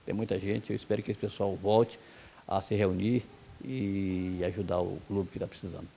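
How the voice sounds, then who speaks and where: A man's voice: low voice, fairly even intonation, creaky, dark tone, a little hiss or hum. A man from Brazil, a football coach, giving blunt, heated opinions in an interview. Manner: blunt, heated, rapid-fire complaints.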